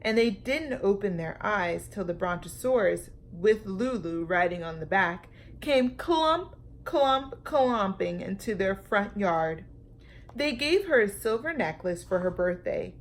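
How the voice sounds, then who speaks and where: Creaky-voiced woman reading a children's story. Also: mid-range voice, expressive, measured pace. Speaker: storytime reader. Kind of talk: expressive read-aloud of a children's story.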